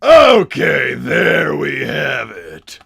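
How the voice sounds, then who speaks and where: A man voicing a hammy, short-tempered mad-scientist villain on a comedic livestream, blustering and theatrical with a gravelly rasp. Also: fairly high pitch, wildly swooping pitch, drawn-out words, heavily acted. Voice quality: gravelly, raspy shouting.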